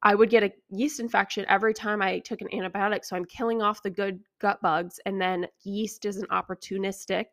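A young American woman with noticeable vocal fry, recorded close and dry. She talks like a podcast host answering questions, casual and explanatory, and states her opinions plainly. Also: mid-range voice, fairly even intonation, a little fast, hardly any pauses, fairly bright tone, no room sound. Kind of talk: casual informative solo podcast talk, answering listener questions.

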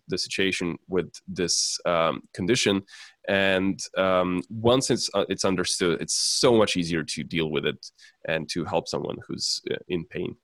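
A man from Serbia in casual conversation, talking in halting phrases with many fillers and restarts. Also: low-pitched, dry recording.

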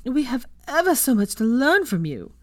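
A woman voicing a theatrical young wizard who teases in a mocking, gushing falsetto. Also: very animated, normal speed, no echo. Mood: teasing.

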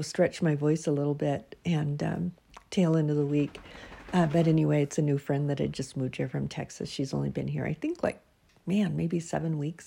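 A middle-aged woman hosts her own podcast in a tired, hoarse voice. Her tone is low, dark and gravelly, with a husky edge. She rambles in a chatty way and sometimes slips into soft whispers. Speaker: podcast host. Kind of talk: casual chat and reading her own writing.

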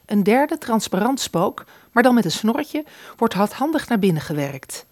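A woman's voice: a little high, very animated, moderate pace, no room sound. A woman reading scripted storytelling narration for a radio play.

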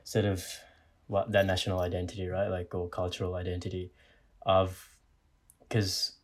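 A podcast host from Sri Lanka with a very deep, flat voice. He rambles through his thoughts, often closing with a questioning "right?". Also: moderate pace. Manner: rambling, tagging sentences with "right?". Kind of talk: casual discussion.

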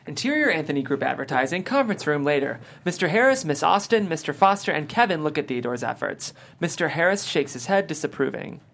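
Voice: medium pitch, expressive, a little fast, vocal fry, few pauses, a bit bright. A narrator reads stage directions and scene headings aloud at a script table read. The voice sits in a middle register. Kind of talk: reading screenplay stage directions.

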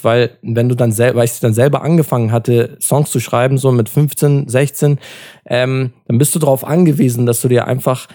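A man's voice: medium pitch, dark tone. A young man in a casual podcast chat, talking in a slangy, informal way.

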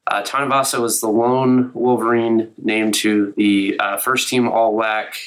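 A young man with a slightly raspy, recovering voice, co-hosting a casual sports chat and talking through results with many fillers. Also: lowish voice, steady tone, unhurried, a bit bright, poor audio. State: slightly raspy after illness. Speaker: co-host of a college sports podcast.

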